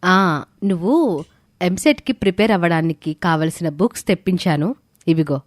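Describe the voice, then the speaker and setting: Woman doing caring teacher lady, lowish voice, firm n patient, story audio, dry. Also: normal speed, no room sound, close to an everyday voice. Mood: instructive. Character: Bharathi, caring, encouraging female teacher and mentor. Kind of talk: scripted audio story dialogue.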